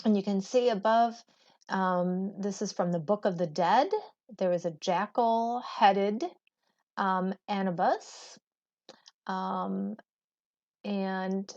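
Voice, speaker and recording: Female teacher, mid-pitched voice, unhurried and deliberate classroom read-aloud, slightly dull recording. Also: darkish tone. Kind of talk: reading a textbook aloud to students.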